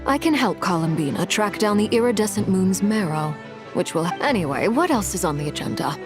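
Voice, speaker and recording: A woman playing a composed, formal and quietly menacing villainess in a video game, speaking in a measured, guarded way. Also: medium pitch, expressive, close to an everyday voice. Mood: diplomatic. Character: composed, formal, menacing adult female Harbinger. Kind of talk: video game cutscene acting. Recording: faint game music behind.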